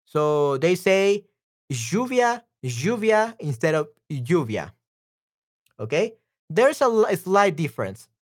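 A voice actor with a Salvadoran accent giving a casual language lesson, chatty and repeating words slowly, often checking in with the listener. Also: medium pitch. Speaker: Spanish teacher and voice actor. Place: room with some echo.

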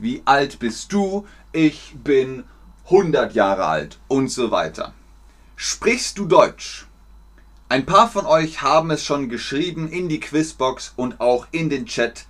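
Slow, lively-voiced German teacher man. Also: mid-range voice. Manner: encouraging, slow and clearly articulated. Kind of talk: live online language lesson.

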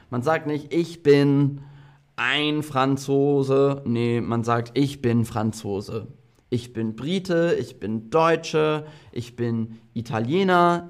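Very slow, deliberate male language teacher. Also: mid-range voice. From half German, half British. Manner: slow, deliberate, repeats words for learners. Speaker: German teacher. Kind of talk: slow classroom language lesson.

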